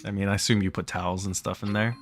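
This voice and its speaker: A man's voice: lowish voice, flat delivery, quick pace. A man hosting a playthrough, reading the game's story aloud and commenting casually on it. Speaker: let's play host reading the game's text aloud.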